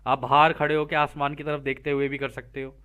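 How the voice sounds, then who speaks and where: A man's voice: fairly high pitch, level tone, brisk, sharp tone, smooth. A man teaching spiritual material, reading aloud and explaining it informally, checking in with listeners through rhetorical questions.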